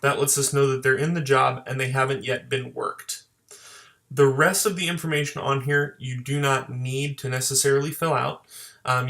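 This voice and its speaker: A man with a noticeably creaky, fry-edged voice narrates a screen tutorial. He speaks as a software trainer at a medium pitch. His explanations are plain and move step by step. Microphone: voice-over for screen recording.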